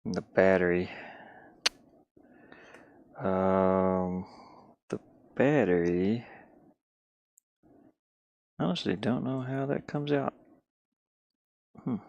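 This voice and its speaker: A man's voice: lowish voice, slow pace, creaky, pauses often, a little mellow, lo-fi sound, a little hiss or hum. A man live streaming with casual, rambling chat in a scattered, self-deprecating manner. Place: inside a small cluttered van.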